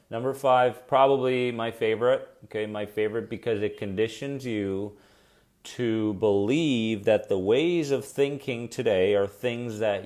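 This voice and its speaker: A man with a fairly low, soothing voice hosts a live coaching session. He talks casually and warmly to his viewers, encouraging them and answering their comments as they arrive. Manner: chatty and encouraging, addresses viewers directly and reacts to their comments. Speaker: anxiety coach hosting a weekly live stream.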